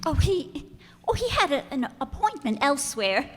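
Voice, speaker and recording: An actress playing a curious, innocent, excitable young daughter in a radio play, full of eager exclamations. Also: female voice, sits high, moderate pace, a little hiss or hum. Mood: flustered. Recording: echoey hall stage recording.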